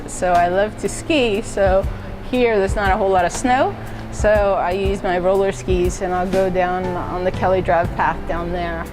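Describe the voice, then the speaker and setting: A middle-aged woman from Norway talks through her work and her love of sports in an easygoing interview, full of "uh" and "so." Her voice sits at a middle pitch. Music plays clearly underneath her. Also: rough recording. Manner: conversational, many "uh" and "so" fillers, laughs lightly. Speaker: university fitness and wellness director. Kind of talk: relaxed interview answers.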